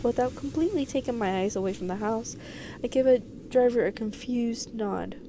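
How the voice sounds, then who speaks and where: Woman, game read-aloud, expressive, fry in the voice, casual asides, clearly noisy audio. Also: fairly high pitch, moderate pace. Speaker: video creator playing a visual novel. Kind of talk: let's play, reading game narration aloud.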